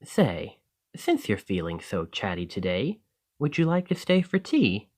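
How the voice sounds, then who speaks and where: Chatty village alchemist played by a man for fantasy ASMR roleplay: lowish voice, very lively intonation, eager and a touch flustered. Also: a little slow, full character voice. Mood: friendly, eager. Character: friendly, excitable male village alchemist in a fantasy shop. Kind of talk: ASMR roleplay addressed to the listener.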